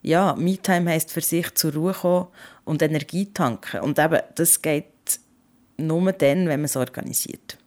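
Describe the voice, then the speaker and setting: A woman's voice: low-pitched, normal speed, a little mellow. A woman with a Swiss German accent hosting a podcast in a conversational narrating style. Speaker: host.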